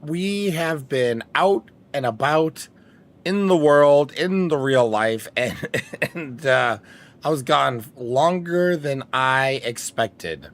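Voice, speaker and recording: A man hosting a live stream in a casual chatty way, his voice raspy as he recovers from a long trip. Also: medium pitch, lively intonation, takes it slowly. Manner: theatrical greeting, then rambling chatty asides. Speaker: streamer host.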